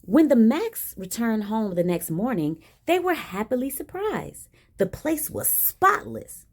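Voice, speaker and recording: A woman reading a children's picture book aloud in an expressive style with dramatic emphasis. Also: fairly high pitch, unhurried. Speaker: storybook reader. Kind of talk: animated children's read-aloud.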